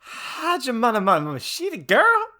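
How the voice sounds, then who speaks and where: A woman voicing a lively, crude teenage goth girl in a comic story reading, exaggerated and slangy. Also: a little high, measured pace, heavily acted. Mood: gleefully crude.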